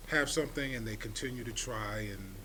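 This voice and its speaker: A man's voice: on the low side, brisk. A man in his fifties giving casual answers as a panelist at a live event. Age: 50s. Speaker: panelist, inventor. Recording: live event with clear background noise.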